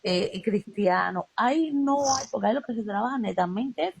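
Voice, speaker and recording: A woman's voice: mid-range voice, lively intonation, fairly quick, gravelly, slightly rough. A woman from Cuba with a Cuban Spanish accent, telling personal stories in a casual spiritual chat, with fillers and repetitions. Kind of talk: casual conversation about spirituality.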